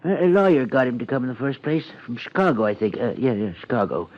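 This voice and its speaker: An earnest small-town supervisor played by a man in a vintage radio drama. He speaks at a middling pitch in a folksy, hesitant way and is easily flustered. The sound is dull, like an old broadcast. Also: expressive, quick pace, natural voice. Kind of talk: 1950s radio drama acting. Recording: muffled vintage 1950s radio recording.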